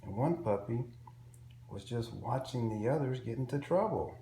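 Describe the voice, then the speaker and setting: A man reading a picture book aloud to young children in an expressive, simple storytelling way. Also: mid-range voice, normal speed, gravelly. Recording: roomy echo with faint background noise.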